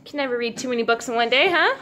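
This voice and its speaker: A woman's voice: a little high, very fast. A mother reading a storybook aloud to her young child at home, in an encouraging way. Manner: encouraging, asks the child questions. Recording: home recording with faint background noise.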